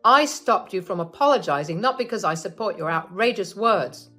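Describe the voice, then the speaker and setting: A woman's voice: on the low side, very animated, moderate pace, bright, forceful tone, soft background music, exaggerated voice. A woman voicing an angry young husband scolding his mother, firm and indignant.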